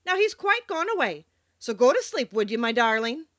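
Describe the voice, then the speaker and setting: A woman's voice: fairly high pitch, big pitch swings, normal speed, fairly bright tone, dry recording, dull audio. A woman voicing a sleepy storybook nursemaid who soothes and coaxes a child with dismissive reassurance. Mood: soothing, dismissive.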